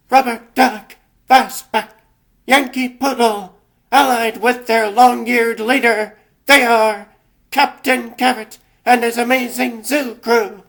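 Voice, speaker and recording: Slow, dramatic male comic-book narrator. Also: very high voice, creaky.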